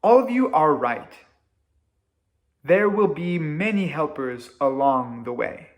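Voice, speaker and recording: An earnest peg-legged guide from a children's storybook, acted out by a man reading aloud. The voice is raised in pitch and very slow. Every instruction comes out emphatic and drawn out, as if teaching a vital route. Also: animated. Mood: encouraging.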